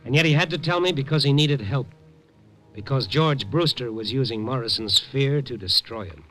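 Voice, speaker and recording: Actor as a calm wry reporter, measured, old radio broadcast, faint music behind. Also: male voice, medium pitch, natural voice. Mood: reflective. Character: steady, wry male newspaper reporter narrating. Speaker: newspaper reporter. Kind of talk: 1950s radio drama acting.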